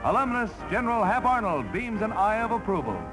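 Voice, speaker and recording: Male American newsreel commentator, 1940s. High, swooping delivery, punchy and theatrical, old soundtrack. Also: very animated, brisk, poor audio. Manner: punchy, colourful, theatrical announcing. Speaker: newsreel narrator. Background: loud music.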